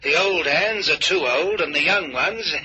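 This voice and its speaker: An actor playing a shady, soothing village parson with a folksy, cajoling manner in an old-time radio mystery. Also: male voice, fairly high pitch, a little slow, pushed and bright, highs cut off, slight background noise. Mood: businesslike, chatty. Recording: vintage radio recording.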